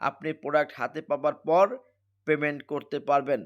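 Higher-pitched Bangladeshi salesman. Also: flat delivery, brisk, dull audio. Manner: repetitive, emphatic explaining. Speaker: product seller presenting a device. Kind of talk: sales pitch, product demonstration.

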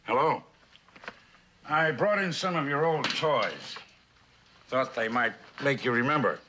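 An actor playing an earnest male lawyer and steady confidant, deliberate and emphatic, in a vintage television suspense drama. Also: mid-range voice, noisy background, natural voice. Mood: gentle, probing.

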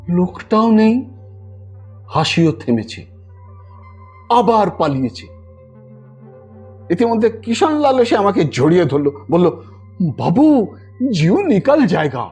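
Animated high-pitched male storyteller. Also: takes it slowly, long pauses, breathy edge, faint music behind. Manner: theatrical, acts out characters' dialogue. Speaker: audio story narrator. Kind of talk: dramatic scripted narration of a ghost story.

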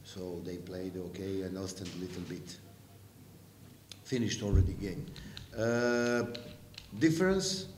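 Middle-aged coach, lowish voice, lots of uh pauses, roomy echo. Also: male voice, darkish tone, a little hiss or hum. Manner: hesitant, measured, many "uh" pauses. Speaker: home basketball coach. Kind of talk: post-game press conference answers. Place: press room with sponsor backdrop. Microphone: table mic. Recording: echoey room.

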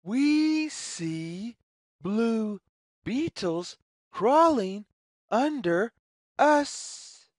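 A woman reading a children's picture book aloud as a storyteller, deliberately and in a sing-song way, pausing between phrases. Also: fairly high pitch, big pitch swings, no room sound, clean studio sound.

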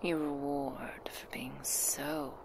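A woman playing a seductive, hungry vampire who is doting yet predatory, in an intimate, breathy whispered ASMR roleplay. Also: flat delivery, noisy background, heavily acted. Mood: seductive, possessive. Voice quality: breathy whisper with creaky low murmurs. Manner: slow, intimate, sultry. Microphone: very close binaural microphone.

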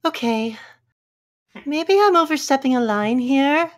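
A woman reading forum posts aloud for a comedy podcast in a halting, mock-earnest way. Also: high-pitched. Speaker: podcast reader.

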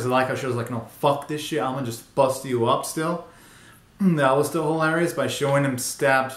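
A man's voice: a little high, fairly bright tone. A young man giving casual, slangy and exclamatory reaction commentary as a video host, recorded in a small bedroom. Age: young adult. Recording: roomy, echoey bedroom sound.

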